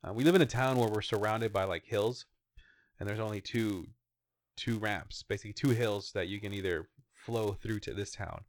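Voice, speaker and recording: A man in his thirties talks through plans in a loose, rambling way, dropping the odd swear. His voice sits low, and the sound is close and dry, with no room around it. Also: normal speed, dry recording. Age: 30s. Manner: rambling, easygoing, swears casually. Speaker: podcast co-host. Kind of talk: casual chat.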